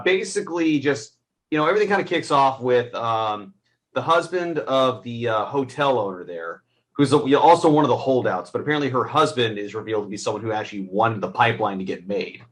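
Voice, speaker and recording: A man co-hosting a casual film review, talking in a halting way as he searches for his words. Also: medium pitch, fairly bright tone.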